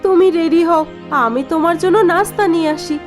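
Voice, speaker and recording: A dutiful young bride, voiced by a woman in an animated moral tale. She speaks softly and politely in a high voice. Light music plays behind her. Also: brisk. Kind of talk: cartoon voice acting.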